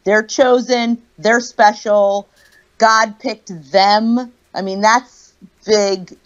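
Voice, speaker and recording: A woman's voice: on the high side, fairly even intonation, extremely slow. Woman guest on a video call, mid-range voice, slightly muffled line, explains at length in casual talk. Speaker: livestream guest. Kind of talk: casual discussion.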